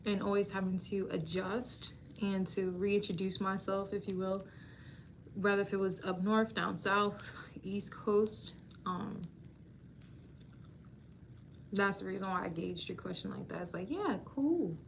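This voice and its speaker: A woman in her thirties talking casually to her livestream viewers, playful and chatty, calling them baby and laughing often. Her voice sits at an ordinary middle pitch. A faint hum of noise runs underneath. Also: a little slow, gravelly. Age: 30s. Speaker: beauty school staff and livestream host.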